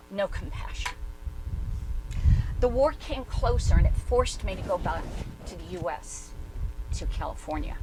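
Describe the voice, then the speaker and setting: Woman acting out hard-boiled skeptical female journalist, medium pitch, blunt and candid, conversational stage monologue, background noise. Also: close to an everyday voice. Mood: grave, bitter. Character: skeptical, hard-boiled older woman, former war correspondent, bitter and candid. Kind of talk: dramatic reading in conversational first-person monologue.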